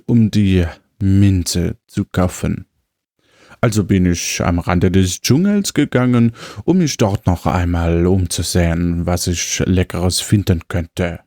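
Male actor as affected gourmet cannibal, low pitch, dry close sound, savouring, hesitant, French phrases, audio-drama diary. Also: a little mellow, dry recording, exaggerated voice. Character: gourmet cannibal diarist, man, genteel, food-loving, affected.

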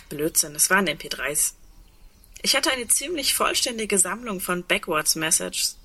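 An actress playing a lonely, sardonic woman awaiting the end of the world in an intimate radio play, in a breathy, reflective, wry way. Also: lowish voice, sharp tone, exaggerated voice.

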